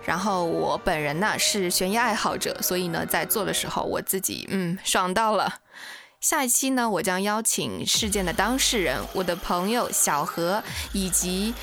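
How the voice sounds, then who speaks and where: A woman's voice: lowish voice, expressive, normal speed, fairly bright tone, faint music behind. A woman hosting a podcast, giving an informal outro and credits as the creator of the show. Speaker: podcast host and drama creator.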